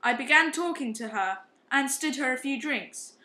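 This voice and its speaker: A woman acting a guilt-ridden, hard-drinking young upper-class man in an amateur radio play, confessing in a halting, ashamed way. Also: high-pitched, expressive, bright, forceful tone. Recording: roomy, echoing amateur recording.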